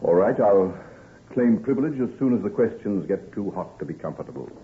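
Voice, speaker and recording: A man playing a troubled, weary gentleman doctor in an old-time radio drama, guarded and subdued. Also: lowish voice, animated, a little fast, narrow, tinny audio, a little hiss or hum, natural voice. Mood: uneasy, resigned.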